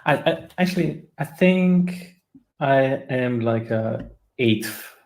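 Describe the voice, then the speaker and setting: A man from Russia chatting on a video call, relaxed and hesitant, often trailing off. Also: medium pitch, slow. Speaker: video chat stranger. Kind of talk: casual video chat.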